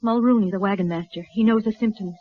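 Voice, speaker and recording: A woman's voice: medium pitch, quick pace, natural voice. Muffled 1950s radio sound frames an actress as a desperate American frontier mother. She is guarded and defiant, speaking in short, rustic phrases with the stagey urgency of a radio western. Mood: weary, hopeless. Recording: dull vintage radio recording.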